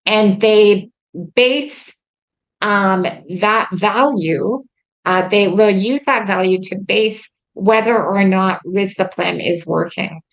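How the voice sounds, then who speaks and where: A woman hosting a casual chat, talking earnestly about her treatment with many pauses and ums. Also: mid-range voice, rough recording. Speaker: host. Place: lives in Quebec.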